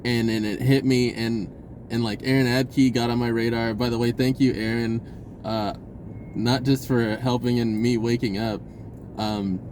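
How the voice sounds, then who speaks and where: A man telling a personal story in a casual, rambling, informal way with an animated, giggly delivery. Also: medium pitch, normal speed, a little hiss or hum.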